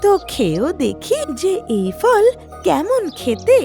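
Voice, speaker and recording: Little cartoon animal, high-pitched, swooping intonation, sweet, innocent, curious. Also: female voice, wildly swooping pitch, slow pace, vocal fry, over music, full character voice. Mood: delighted, curious. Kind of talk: cartoon voice acting.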